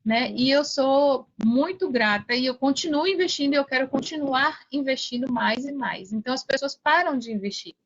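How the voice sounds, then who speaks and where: A woman's voice: sits high, moderate pace, few pauses, lo-fi sound. A middle-aged Brazilian woman speaking casually as an interview guest, emphatic and repeating her points. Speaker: podcast guest, online affiliate mentor.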